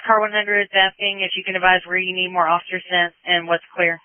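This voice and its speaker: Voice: medium pitch, monotone, fairly quick, smooth, no room sound. A police radio dispatcher relaying messages in a clipped, procedural way, repeating them back over a radio channel. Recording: narrowband police radio transmission.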